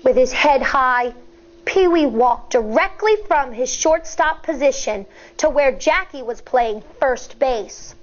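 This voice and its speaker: A woman teacher reading a picture book aloud to children, expressive and deliberate, stepping aside at times to explain. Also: high-pitched, a little hiss or hum. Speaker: elementary school teacher. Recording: dull, lo-fi sound.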